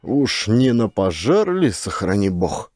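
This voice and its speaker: Man playing a gruff, nosy village official. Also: on the low side, very animated, slow, a little mellow, no echo, clean studio sound, full character voice. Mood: wondering. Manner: grumbling, folksy rustic speech. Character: bored, gruff male village official, easily startled, nosy.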